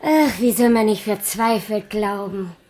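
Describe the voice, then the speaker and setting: A woman's voice: medium pitch, a little slow, a little mellow, lightly acted. An actress playing a worldly, teasing circus woman with an insinuating manner in a 1980s German radio drama. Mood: teasing, nostalgic.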